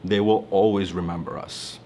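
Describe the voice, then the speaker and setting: Man, 30s, from Toronto; low, reflective, earnest; seated studio testimonial with slight background noise. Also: fairly even intonation, moderate pace, warm tone. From Toronto, Canada. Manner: earnest, reflective, gestures while talking. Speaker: alumnus physician. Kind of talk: interview testimonial. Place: studio with branded backdrop, indoors.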